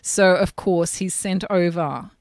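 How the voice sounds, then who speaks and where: A woman DJ chatting as a guest, a bit hoarse and raspy from a cold, telling stories with lively enthusiasm. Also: lowish voice, slow, dry recording. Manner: animated, enthusiastic storytelling. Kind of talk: casual chat.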